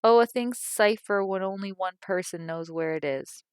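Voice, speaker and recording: A woman reads a young Cockney daughter from a storybook, patient but guarded with her answers. Her voice stays crisp and close, a touch lower and smoother than a girl's would be. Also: moderate pace, no echo, natural voice. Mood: evasive. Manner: quiet, evasive.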